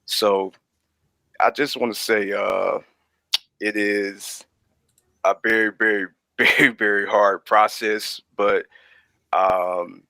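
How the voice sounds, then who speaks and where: A man's voice: lowish voice, little pitch movement, slow, gravelly, pauses often, sharp tone, no echo. A man speaking as an interview guest, explaining his creative work in a casual, rambling, hesitant way.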